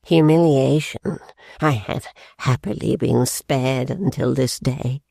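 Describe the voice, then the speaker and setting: A proud, wounded older father who speaks slowly and haltingly with pompous, mournful dignity, put on by a woman reader. The voice sits low and dark, read close and dry. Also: gravelly, breathy edge, dry recording, full character voice. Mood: aggrieved.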